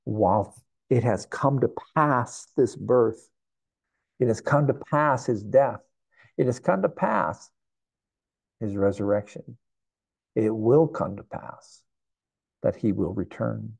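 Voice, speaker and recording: A man's voice: fairly low pitch, lively intonation, slow, vocal fry, long pauses, darkish tone, husky, dry recording. A man in his sixties preaching a sermon to camera in a church, earnest and reflective, often repeating phrases. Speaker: pastor. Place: indoor church sanctuary.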